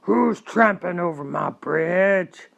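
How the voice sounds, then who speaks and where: Storybook troll, played by a woman: huge, ugly bully, low-pitched, roaring, threatening, drawn-out delivery. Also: lively intonation, vocal fry, exaggerated voice. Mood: roaring challenge. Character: great ugly bullying male troll under a bridge. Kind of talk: storybook character voice.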